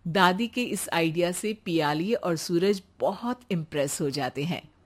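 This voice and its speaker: Slow-paced woman narrating a story. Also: fairly low pitch, expressive. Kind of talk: scripted cartoon story narration.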